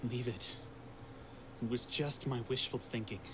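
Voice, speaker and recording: An actor playing a gentle, weary fugitive swordsman resigned to his fate in an anime-style video game. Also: mid-range voice, measured pace, a crowd in the background, lightly acted. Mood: resigned, subdued.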